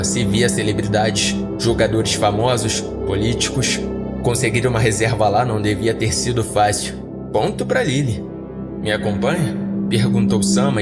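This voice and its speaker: A man narrating a first-person horror story in a measured, dramatic way. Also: on the low side. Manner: measured, dramatic storytelling, voicing every character. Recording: narration over loud background music.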